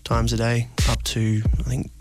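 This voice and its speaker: A man's voice: mid-range voice, monotone, unhurried, loud music behind the voice. A man in his twenties being interviewed, speaking personally in a hesitant, reflective way. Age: 20s.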